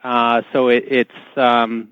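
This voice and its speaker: A man's voice: medium pitch, flat delivery, takes it slowly, clear, resonant tone, no echo. Male expert guest, technical explainer, hesitant, dry, muffled band-limited radio audio.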